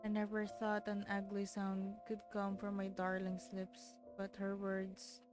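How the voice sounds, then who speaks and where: A woman's voice: mid-range voice, monotone, unhurried. A woman streaming a game, reading its text aloud with stumbles and breaking off to exclaim in reaction. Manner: exclamatory, stumbles over read words. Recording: game music behind the voice. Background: soft game music.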